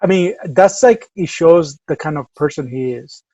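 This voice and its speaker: A man's voice: a little high, expressive, normal speed, mellow, no echo, lo-fi sound. A man with a Salvadoran accent co-hosting a podcast, chatting easygoing and rambling with lots of fillers. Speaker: podcast co-host. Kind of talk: casual chat.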